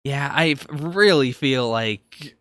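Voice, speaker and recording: A man's voice: mid-range voice, expressive, slow, fairly bright tone, no echo. A man co-hosting a podcast, talking casually and conversationally in a review discussion. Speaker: podcast co-host.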